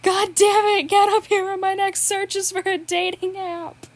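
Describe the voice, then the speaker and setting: A woman voicing a playful, teasing adult man who calls out loudly and impatiently from another room. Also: very high voice, flat delivery, unhurried, no room sound, pitched above the performer's own voice. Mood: exasperated calling. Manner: exasperated, loud, calling from afar.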